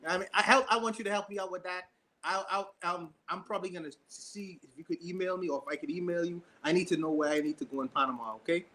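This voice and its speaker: Quick, bright-voiced man chatting eagerly. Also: high voice. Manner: eager, stumbling over his words. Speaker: panelist. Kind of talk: casual chat.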